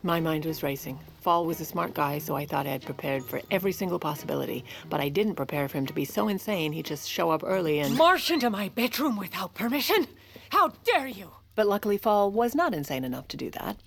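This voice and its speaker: A sharp tongued first lady in an audio drama, kinda low voice, dry and bossy, schemer. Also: lively intonation, gravelly. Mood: alarmed. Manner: wry, brisk, commanding. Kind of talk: audio fiction acting with wry first-person narration.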